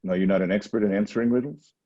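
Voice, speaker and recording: An actor playing a stern, foreboding blind old prophet in a dramatic reading over a video call, with a low, husky, deliberate delivery. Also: male voice, dark tone, close to an everyday voice. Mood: cutting retort. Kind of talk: Zoom dramatic reading of a Greek tragedy. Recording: dull lo-fi video call audio.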